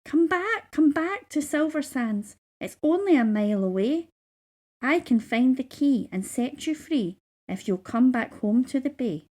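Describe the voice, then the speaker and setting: A woman's voice: sits high, expressive, unhurried, darkish tone, voice pushed up. A helpful storybook seagull, played by a woman reader, speaking in a raised, light voice. The bird is earnest and coaxing, with an urgent way of pleading.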